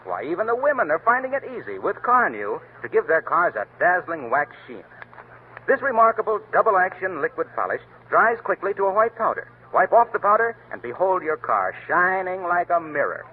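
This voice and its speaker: A man's voice: high-pitched, sing-song, normal speed, husky. Emphatic vintage radio announcer man. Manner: emphatic, salesman-like. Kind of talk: scripted commercial and show announcing. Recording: tinny lo-fi old radio broadcast, faint hiss.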